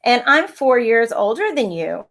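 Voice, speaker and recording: A woman's voice: on the high side, sing-song, unhurried, fairly bright tone, heavily acted. A woman voicing a rotten, boastful older brother, a boy who jeers and sneers, in a storybook read-aloud. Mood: sneering.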